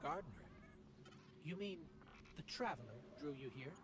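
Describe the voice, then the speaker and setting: Game role: eager machine companion, expressive and lively, over heavy background noise. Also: male voice, fairly high pitch, moderate pace, vocal fry. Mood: alert, puzzled. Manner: earnest, expressive, questioning. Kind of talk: video game voice acting.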